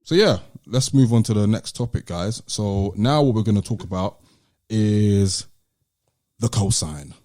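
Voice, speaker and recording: Low, mellow-voiced British podcast host, a man. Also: animated, measured pace, dry recording, clean studio sound. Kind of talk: casual podcast discussion.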